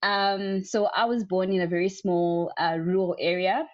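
A woman's voice: medium pitch, steady tone, normal speed. A young woman from South Africa, a guest answering interview questions casually, rambling with many ums. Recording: dull lo-fi sound.

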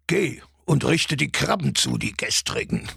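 Very raspy, brutish ogre. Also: male voice, medium pitch, animated, measured pace, a bit bright, dry recording, studio quality, exaggerated voice. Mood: gruff, commanding. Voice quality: very raspy, gravelly. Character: gruff, brutish male man-eating ogre, drunken and bullying. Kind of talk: fairy-tale audio play acting.

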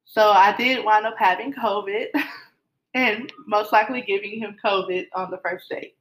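A woman's voice: mid-range voice, steady tone, normal speed, low-quality recording. A middle-aged woman casually telling her love story, rambling with many fillers and restarts.

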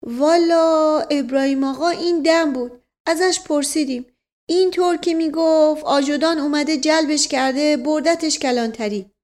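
A woman voicing a slow-witted, evasive male servant in a folksy, colloquial manner for a story. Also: sits high, darkish tone, voice pushed up, natural voice. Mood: unhurried, evasive.

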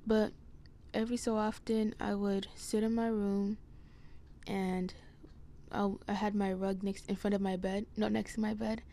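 Young woman with scratchy, creaky voice. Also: medium pitch, little pitch movement, a little slow, dark tone. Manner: hesitant, meandering. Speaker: podcast host. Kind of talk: casual rambling solo chat. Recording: noticeable background noise.

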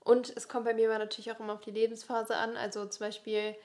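Higher-voiced young woman, slangy podcast chat. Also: monotone, quick pace, a little mellow. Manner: colloquial, slangy, emphatic. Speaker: podcast co-host. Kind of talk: casual podcast chat with a friend.

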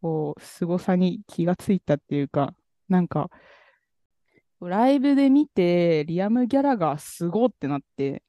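A man co-hosting a podcast, telling a story in a relaxed, rambling way with many fillers. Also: high voice, moderate pace, dry recording. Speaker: podcast co-host. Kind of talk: casual chat about music.

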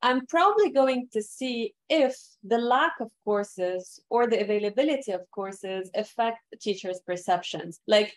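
Thoughtful woman explaining her research. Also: mid-range voice. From Lebanon. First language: Arabic. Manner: thoughtful, explanatory, self-correcting. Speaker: guest researcher. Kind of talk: academic interview.

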